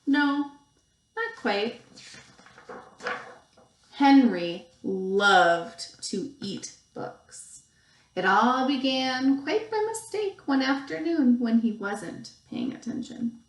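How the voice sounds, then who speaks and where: A woman teacher reading a picture book aloud to young children, expressively, with deliberate pauses and stretched emphasis. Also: sits high, big pitch swings. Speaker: second grade teacher. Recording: roomy, echoey sound.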